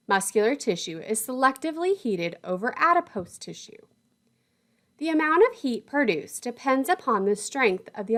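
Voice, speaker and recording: A woman lecturer reading scripted instructional narration in a formal, precise manner. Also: a little high.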